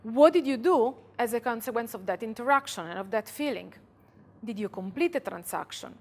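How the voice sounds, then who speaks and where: A woman from Italy with an Italian accent, giving a conference talk and guiding the audience through a calm visualization. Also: fairly high pitch, gravelly. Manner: calm, slow guiding voice, speaks to listeners directly. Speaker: conference speaker. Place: conference room.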